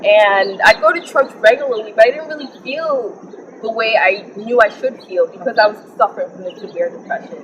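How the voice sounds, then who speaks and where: A young woman from the Bahamas, sharing her personal faith story in an interview, earnest and reflective with frequent pauses. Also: fairly high pitch, a bit bright, muffled recording, a little hiss or hum. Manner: earnest and reflective, often pausing with ums. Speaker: interview guest. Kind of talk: personal testimony in conversation.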